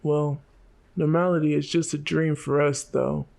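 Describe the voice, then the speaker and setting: A man acts out a penniless teenage devil hunter from an animated motion comic. He speaks slowly in a fairly high, fry-laden voice. He sounds worn down and blunt, resigned to his lot, with music underneath. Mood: wistful, resigned. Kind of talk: fan motion comic voice acting.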